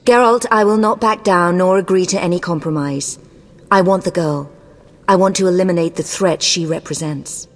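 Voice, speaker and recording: Woman as a snooty scheming sorceress, kinda low voice, formal and cutting, game acting. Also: moderate pace, lightly acted. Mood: cool, haughty. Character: imperious, scheming sorceress, proud and uncompromising.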